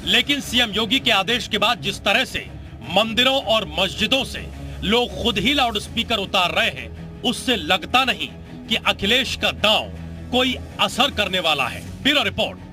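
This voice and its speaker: A man with a high voice narrates a news report. His delivery is scripted, in the manner of a TV reporter, and music plays clearly underneath. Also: expressive, normal speed, sharp tone.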